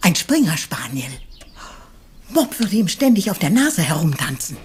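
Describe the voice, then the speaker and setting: A woman's voice: fairly low pitch, big pitch swings, measured pace, darkish tone. An actress playing a polite, regretful lady in a retro crime radio play. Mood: apologetic. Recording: background noise.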